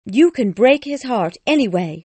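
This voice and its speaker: A man voicing an eerie, proud and bitter old jilted bride in a high, strained falsetto, slow and haunting. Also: expressive, darkish tone, husky, no room sound, exaggerated voice. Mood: commanding. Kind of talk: audiobook reading.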